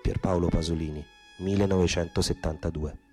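Lowish-voiced man, theatrical radio play narration. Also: fairly even intonation, fairly quick, dark tone, faint music behind. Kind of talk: theatrical scripted narration with poetic recitation.

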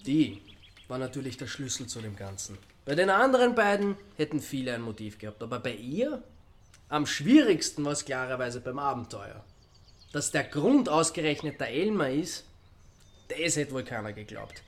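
An actor playing a wry Austrian amateur sleuth in a radio crime drama, needling and reasoning aloud with sardonic calm. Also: male voice, mid-range voice, moderate pace. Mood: wry, deducing.

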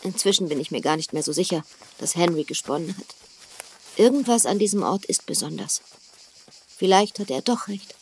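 An actress playing a frank, self-assured young widowed lady in a radio drama, telling things in a measured, confiding way. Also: fairly low pitch, expressive, darkish tone, slight background noise. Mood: regretful. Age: young adult. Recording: studio drama with reverb and music.

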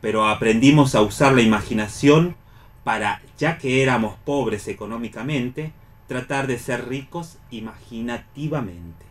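Man, Argentine accent, medium pitch, expressive explainer, radio discussion, occasional reading aloud. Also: normal speed.

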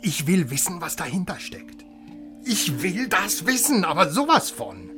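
An emphatic male voice plays an excitable story-hunter in a radio drama. He exclaims and insists, with music clearly behind. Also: high voice, a little slow. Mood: excited, insistent. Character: excitable, insistent man hungry for a big story.

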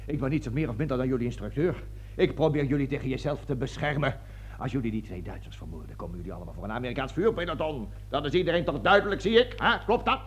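An actor in a radio play voicing a self-important American army colonel who stammers, cajoles and barks orders. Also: male voice, medium pitch, expressive, fast talker, husky. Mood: defensive. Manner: pompous, stammering, cajoling, switching between whispers and barked orders. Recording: noisy old radio recording with hum.

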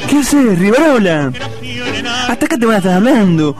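Guy doing an older buenos aires buddy, high voice going up and down, fed up and slangy, radio comedy. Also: normal speed, music in the background. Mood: exasperated. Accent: Buenos Aires Argentine. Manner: scolding, slangy, animated. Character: exasperated older male lifelong friend, slangy. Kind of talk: comic radio play acting.

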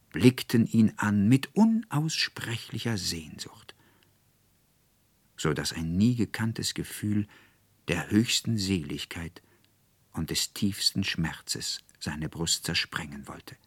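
A man narrating a literary tale as an audiobook reader, in an expressive storytelling style. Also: fairly low pitch, husky, no room sound.

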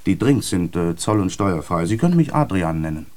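An actor playing a polite Dutch airline steward in a radio drama, addressing passengers formally as if making an announcement. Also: male voice, low-pitched, sing-song, brisk, darkish tone. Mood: courteous, formal.

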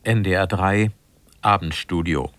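A man's voice: low-pitched, measured pace, a bit bright, no echo. A man working as a radio announcer, reading a scripted broadcast announcement in a studio.

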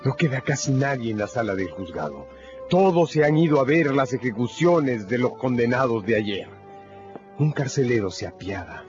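Male storyteller over clear music. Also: medium pitch, expressive, normal speed. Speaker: narrator. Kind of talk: scripted dramatic storytelling narration. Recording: dull radio recording with music.

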